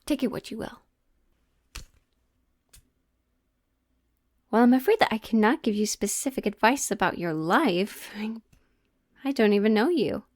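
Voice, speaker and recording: A woman playing a witch who reads tarot in an audio roleplay, mysterious and teasing, speaking deliberately and theatrically to the listener. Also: mid-range voice, expressive, a little mellow, dry recording, clean studio sound, close to an everyday voice. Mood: coy. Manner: slow, deliberate, theatrical, with pondering pauses.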